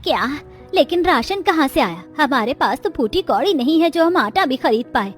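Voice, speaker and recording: Woman voicing a village mother-in-law, high voice, anxious, dramatic cartoon style, faint music. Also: very animated, normal speed. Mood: puzzled.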